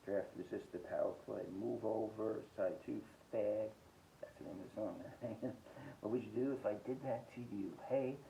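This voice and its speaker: A man hosting a casual, rambling music collection review, listing titles haltingly and giving blunt, short verdicts. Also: on the low side, vocal fry, warm tone, a little hiss or hum, poor audio. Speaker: host of a music collecting podcast.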